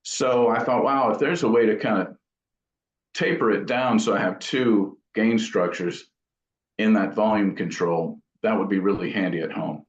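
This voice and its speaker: A man's voice: on the low side, moderate pace. A man giving loose, meandering explanations in a casual interview as the guest. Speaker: guitar amp builder and guest. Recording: dull, roomy, echoing audio.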